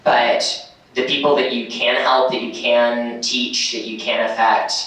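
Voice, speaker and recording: A man's voice: mid-range voice, little pitch movement, unhurried, a bit bright, recorded from a distance. Rambling man in an echoey hall. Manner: rambling, many fillers. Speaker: panelist. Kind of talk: casual panel discussion. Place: convention panel room.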